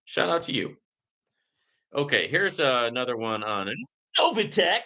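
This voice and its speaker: A man hosting a live Q&A in a casual, conversational and emphatic way, reading comments and often checking in with a quick "right?". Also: medium pitch, sing-song, bright, forceful tone. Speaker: livestream host answering viewer questions.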